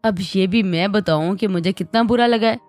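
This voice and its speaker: A woman voicing a touchy, quick-tempered young wife who sulks and snaps. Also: mid-range voice, animated, dry recording, soft background music. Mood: huffy. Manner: indignant, sulky.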